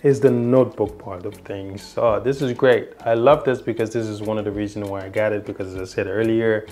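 A young man with a low voice, not a native English speaker, talks through a gadget's features in a casual review. He rambles, restarts and leans on fillers. He is seated in a small room indoors. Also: fairly even intonation, a little fast, a little mellow. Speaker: tech reviewer. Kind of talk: casual product demo.

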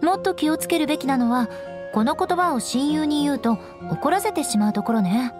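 A woman's voice: high voice, lively intonation, brisk, music in the background. An actress playing a proud, cool young noblewoman in an anime game, composed and instructive with a haughty edge.

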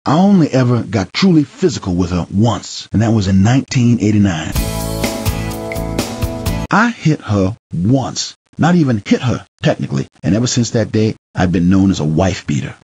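A man reading a first-person memoir as an audiobook narrator, theatrical, swinging between hushed and heated delivery, with a husky, gravelly voice. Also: on the low side, very animated, moderate pace, dull audio, music in the background.

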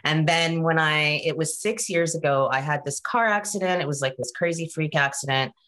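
A woman in her forties from Canada, talking casually on a video call, animated and chatty, often checking in with "right?" and "you know". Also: sits low, moderate pace. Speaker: guest presenter. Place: home room.

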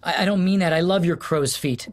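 An actor playing an anxious husband in an audio drama, stammering and swinging between shouts and whispers. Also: male voice, high voice, a little fast. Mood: tender unsettled. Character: anxious husband losing his love, middle-aged man. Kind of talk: studio audio drama acting.

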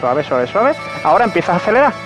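A man with a fairly high voice rides a motorbike through city streets, talking casually about the bike and laughing at himself. He is picked up by a helmet camera mic, with clear background music under the talk. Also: big pitch swings, fast. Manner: chatty, joking, laughs at himself. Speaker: motovlogger. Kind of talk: casual motorcycle review while riding. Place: outdoors riding a motorcycle in city traffic.

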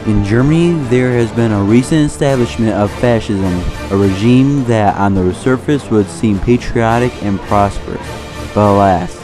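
A man with a fairly low voice narrates a mock 1930s propaganda film. His delivery is solemn and preachy, with formal phrasing, and music plays clearly behind him.

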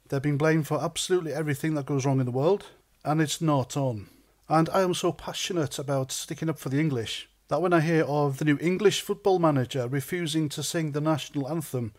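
North Welsh vlogger, middle-aged, slightly high voice, indignant and sweary. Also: male voice, darkish tone. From North Wales. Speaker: vlogger, ex-soldier. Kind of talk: opinion rant to camera.

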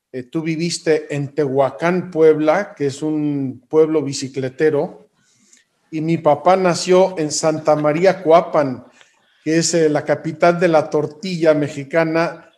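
Male priest host, slow and measured, courteous, fairly high voice. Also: fairly even intonation, darkish tone. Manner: courteous and measured, with polite thanks. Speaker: priest and interviewer. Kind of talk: slow, formal interview hosting.

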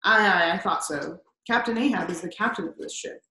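A woman voicing a gruff, suspicious old male sea captain who blusters and teases in old-fashioned speech. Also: medium pitch, fairly quick, bright, forceful tone, reverberant, poor audio. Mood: gruff blustering. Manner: blustering, teasing, archaic speech.

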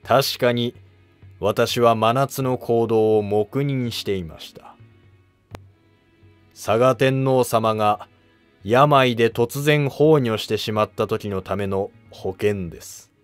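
A man plays a wily minister of the old court in a cartoon history drama. He is guarded and evasive, with formal, measured phrasing. The sound is dry, with quiet music behind. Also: medium pitch, clean tone, no room sound, lightly acted.

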